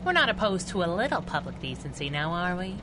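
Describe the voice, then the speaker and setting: Sassy, lively 1930s heroine sidekick. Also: female voice, lowish voice, fast talker, background noise. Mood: curious, flippant. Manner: wisecracking, sassy. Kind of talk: 1930s radio drama acting.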